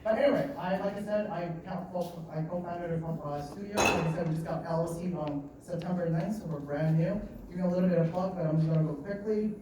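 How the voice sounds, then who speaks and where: A man in his late twenties giving a live talk at a design meetup. He talks fast and a bit nervously, with lots of fillers and restarts. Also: high voice. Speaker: guest speaker at a design meetup. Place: meeting room in an office. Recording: echoey room, distant mic, background noise.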